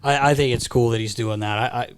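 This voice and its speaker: A man's voice: mid-range voice, steady tone, fast talker. A man co-hosting a sports podcast in a studio, chatting casually with the others. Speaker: podcast co-host.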